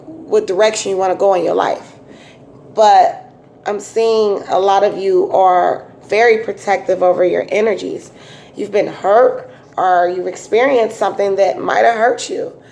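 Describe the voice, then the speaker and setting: A woman with a raspy voice giving a casual, chatty tarot reading, full of fillers and restarts. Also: medium pitch, a little mellow, slight background noise. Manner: chatty, repetitive, many um fillers. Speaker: tarot reader.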